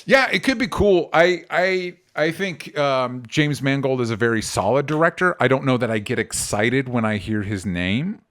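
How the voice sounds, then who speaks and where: A man's voice: medium pitch, moderate pace, few pauses, a bit bright. Casual American man co-hosting a podcast. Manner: informal, hedging explanations. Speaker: podcast co-host. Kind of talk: casual entertainment news chat.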